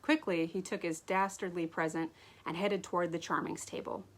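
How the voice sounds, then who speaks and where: Expressive woman reading a story aloud. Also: fairly low pitch, moderate pace. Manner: animated, stumbles over words and rereads. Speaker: author reading own story.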